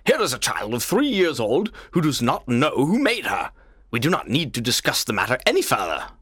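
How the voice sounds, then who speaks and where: Male narrator as stern governor, judgmental, formal, medium pitch, dry storybook recording. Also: lively intonation, moderate pace, bright, forceful tone, no echo. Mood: indignant. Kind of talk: audiobook character voice.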